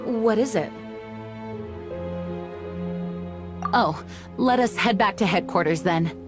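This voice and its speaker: An earnest young knight commander, played by a woman, speaks with formal, measured dignity in a game cutscene. Her voice sits at an ordinary middle pitch, and prominent music plays behind her. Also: animated, natural voice. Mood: concerned, serious. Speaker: acting grand master of knights. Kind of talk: English game dub voice acting. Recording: studio voice over prominent music.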